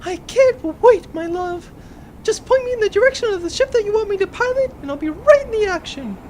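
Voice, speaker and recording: A man's voice: very high voice, animated, brisk, a little mellow, slight background noise, voice pushed up. A man voicing an earnest, sentimental young woman space pilot in an audio comedy adventure. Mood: earnest, affectionate.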